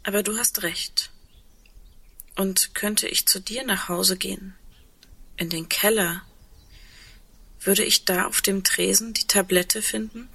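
Actress doing a resigned woman in an audio drama, slow n hushed, bit husky, halting. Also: mid-range voice, steady tone, exaggerated voice. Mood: wistful. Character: resigned woman facing the end, fearful yet composed. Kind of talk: intimate audio drama dialogue.

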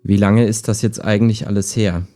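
A man's voice: fairly low pitch, fairly even intonation, brisk, over music, natural voice. A man playing a skeptical, wistful chatbot that talks like a human in an intimate radio play, understated and hesitant. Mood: pensive.

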